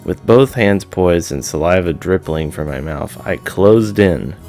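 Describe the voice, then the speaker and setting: A man's voice: sits low, expressive, a little slow, darkish tone, faint music behind. A man hosting a visual novel read-aloud, moving between informal chat and story narration.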